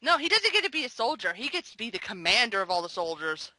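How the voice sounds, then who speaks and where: Wisecracking woman with a dry, bright voice. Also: on the high side, very animated, dry recording, low-quality recording. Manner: wisecracking, giggly, quick quips. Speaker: podcast guest. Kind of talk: casual review discussion.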